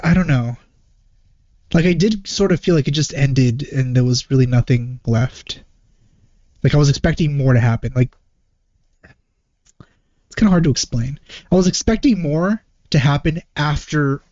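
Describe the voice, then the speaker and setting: A man giving casual reaction commentary as a host, hesitant and rambling as he thinks out loud. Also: medium pitch, measured pace, pauses often, no room sound. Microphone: wrong microphone, close and dry. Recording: dull, muffled lo-fi microphone.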